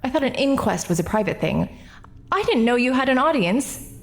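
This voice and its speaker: An actress playing a defensive, self-righteous middle-aged woman testifying at an inquest, talking in an indignant, sharp-tongued way. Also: a little high, very animated, normal speed, soft background music. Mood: alarmed. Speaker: witness at an inquest. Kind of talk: 1940s radio acting.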